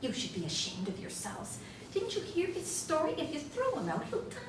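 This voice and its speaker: High, animated, indignant mother, acted by a woman. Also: moderate pace. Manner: emphatic, scolding. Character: indignant, compassionate mother scolding her family. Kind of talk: staged play reading. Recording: roomy, distant stage recording with noise.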